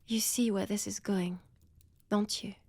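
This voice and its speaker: Woman acting as ancient vampire villainess, French accent, unhurried delivery, seductive, mocking, menacing, breathy whispers, dry intimate audio drama. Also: medium pitch, steady tone, dark tone, no room sound. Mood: brooding, intense. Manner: slow, taunting, theatrical, dropping often into breathy whispers.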